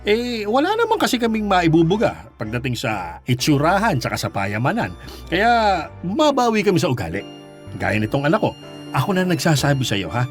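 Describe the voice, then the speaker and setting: A chatty, curious middle-aged Filipino father in a radio drama. His voice sits fairly high and leaps around wildly. He teases, stumbles and repeats himself. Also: faint music behind. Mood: proud, warm. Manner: halting, repetitive, animated teasing. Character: curious, chatty, playful father meeting son's girlfriend.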